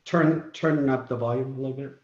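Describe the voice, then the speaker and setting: A man's voice: medium pitch, a little fast, a little mellow. A man joining a meeting, making short practical remarks about the shared screen. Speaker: meeting participant. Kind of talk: casual chat. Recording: roomy, muffled recording.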